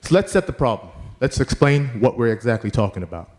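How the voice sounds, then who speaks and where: A man's voice: mid-range voice, big pitch swings, a little fast, a little mellow. A man, a leadership trainer, giving an earnest prepared address that reads out statistics and lists. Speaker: youth leadership trainer and presenter.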